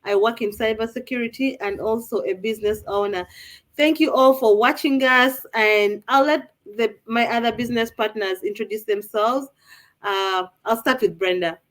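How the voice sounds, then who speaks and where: A woman's voice: fairly high pitch, normal speed, fairly bright tone. A woman from Uganda hosting a live online panel over a video call, upbeat and chatty, speaking straight to the viewers. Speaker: live show host.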